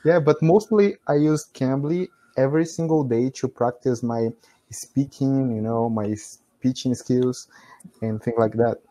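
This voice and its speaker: A man's voice: mid-range voice, measured pace, warm tone, rough recording. Brazilian man chatting on a video call. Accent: Brazilian Portuguese. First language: Portuguese. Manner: laughs often, frequent "you know" fillers. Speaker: English learner. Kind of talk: casual conversation practice. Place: small room at home, indoors.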